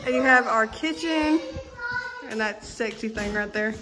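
A woman's voice: a little high, over music, poor audio. A young woman giving a casual, giggly tour of her empty new house, filmed on a phone. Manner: bubbly, giggly, quick tour narration. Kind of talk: casual chat. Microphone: handheld phone camera.

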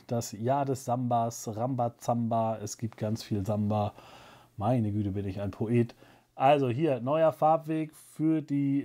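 Mellow, rambling man hosting a casual show. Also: mid-range voice. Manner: rambling, jokey, self-ironic. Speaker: shop owner presenting a weekly web show. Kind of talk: casual product-show chat to camera. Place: small cluttered indoor room.

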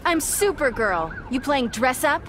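A woman's voice: high voice, big pitch swings, measured pace, noisy background. A woman playing a plucky, defiant young superheroine from another planet, cheeky and confident in video game fight banter.

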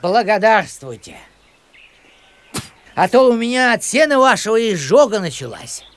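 High, creaky fussy village postman. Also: very animated, moderate pace. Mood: polite, flustered. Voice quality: creaky, sharp. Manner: officious, quaint polite turns of phrase. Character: fussy, rule-bound middle-aged village postman, easily flustered. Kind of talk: animated cartoon acting.

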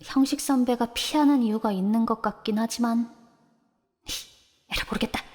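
A woman's voice: on the high side, normal speed, natural voice. An actress playing a cheerful, teasing young female office worker in an amateur audio drama. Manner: bright, teasing, eager.

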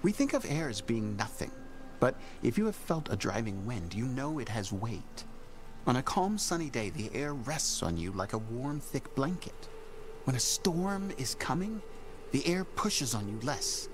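An actor playing a gentle, thoughtful young horned man in a video game, speaking in a measured, poetic way. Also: mid-range voice, sing-song.

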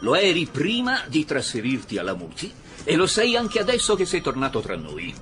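Blustering police chief, swooping intonation. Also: male voice, on the high side, wildly swooping pitch, moderate pace. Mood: insistent. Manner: insistent, commanding. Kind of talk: Italian radio drama acting.

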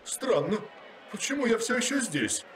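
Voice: mid-range voice, sing-song, normal speed, heavy background noise, lightly acted. An actor as flustered, wildly swooping robot creature. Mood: bewildered. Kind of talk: animated series dub acting. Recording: loud music behind.

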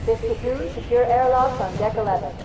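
A formal ship's announcer, played by a woman, issues clipped orders in a slightly high voice. She sounds echoey and far off, over music. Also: fairly quick, close to an everyday voice. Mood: urgent official. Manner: clipped, official. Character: formal female shipboard announcer giving battle orders. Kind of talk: video game announcement.

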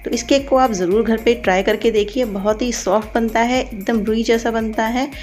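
A woman's voice: a little high, steady tone, moderate pace, a little mellow. Woman explaining, music behind her. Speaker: cooking channel host. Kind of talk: recipe voice-over, step-by-step instruction.

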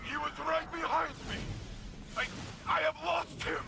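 Gravelly urgent alien leader. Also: male voice, very high voice, fairly even intonation, measured pace, heavy background noise, heavily acted. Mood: desperate, pleading. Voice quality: gravelly, creaky. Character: grave, honorable male alien house leader under siege.

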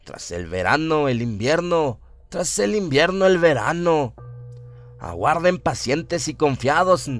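A man giving a solemn, theatrical narration of a horror radio drama, with deliberate phrasing. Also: on the high side, big pitch swings. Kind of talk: dramatic scripted horror narration, audiobook-like reading. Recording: music under the voice. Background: atmospheric music bed.

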